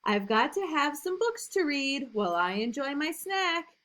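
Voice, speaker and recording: A woman reading a rhyming storybook as an eager, imaginative little boy, in an emphatic sing-song with drawn-out line endings. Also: high voice, big pitch swings, a bit bright, pitched above the performer's own voice, heavily acted. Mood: eager, excited. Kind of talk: rhyming storybook read aloud.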